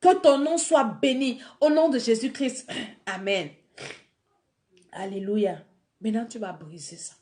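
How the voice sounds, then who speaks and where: A fervent woman preacher, leading her listeners through prayer and exhortation. Her voice sits fairly high, and she has a cold. She drops into quiet murmured prayer, then climbs again into urgent preaching. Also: measured pace, long pauses. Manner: fervent, swings between soft prayer and rising exhortation. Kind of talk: sermon with guided prayer.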